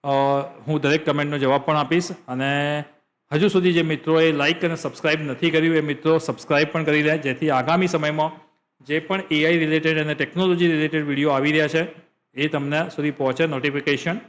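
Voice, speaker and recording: A man with a Gujarati accent and a fairly high voice hosts a software tutorial. He explains what is on screen step by step, repeating phrases to drive the point home. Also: steady tone, a little fast. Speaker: tutorial host.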